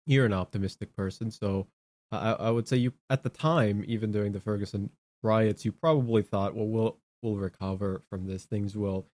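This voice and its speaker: A man co-hosting a casual political chat, speaking in a halting way and repeating his words. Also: lowish voice, fairly even intonation, a little mellow. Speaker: talk show co-host.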